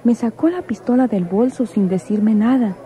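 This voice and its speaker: A woman's voice: fairly high pitch, normal speed, dark tone, lightly acted. An actress playing a proud, wary woman in a radio soap, earnest and firm. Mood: accusing. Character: proud, wary, insistent woman warning a man. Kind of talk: Spanish-language radio soap acting.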